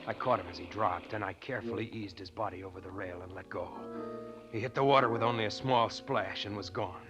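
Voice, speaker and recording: An actor playing a haunted, weary deep-sea diver in a 1940s radio drama, speaking gravely and broodingly. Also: male voice, fairly quick, lo-fi sound, over loud music, close to an everyday voice. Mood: grim, brooding. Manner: grave, brooding narration and terse replies. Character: haunted, weary male deep-sea diver telling his dark tale.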